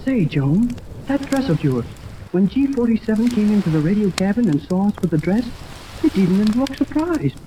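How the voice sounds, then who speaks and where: A performer with a woman's pitch playing an eager, good-natured teenage boy in a 1930s radio serial, breezy and full of slang. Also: big pitch swings, normal speed, lightly acted. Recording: noisy, hissing 1930s radio recording.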